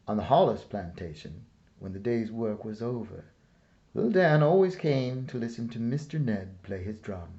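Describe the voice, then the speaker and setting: A man narrating a children's storybook aloud in a measured, deliberate way with pauses. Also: fairly low pitch, a little mellow, dull audio. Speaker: storyteller reading a picture book aloud.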